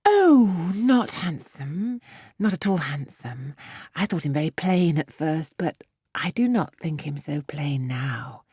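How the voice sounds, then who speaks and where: A woman's voice: low voice, animated, slow, warm tone, slightly rough, no echo, clean studio sound, pitched below the performer's own voice, exaggerated voice. A woman voicing an eager, naive, easily flustered young English girl in an audiobook of a classic novel, chattering in a breathless, hesitant way. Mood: shy, chatty.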